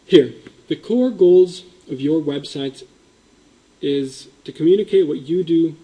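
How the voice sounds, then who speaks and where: Male presenter, fairly high, mellow voice, explaining a system with ums and likes. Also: a little slow, rough recording. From Indonesia. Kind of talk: conference presentation. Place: meeting room.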